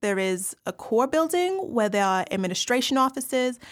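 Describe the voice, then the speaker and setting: A woman's voice: fairly high pitch, big pitch swings, normal speed. Woman playing a wry, matter-of-fact inmate. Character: wry woman inmate narrating prison life. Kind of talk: audio play monologue.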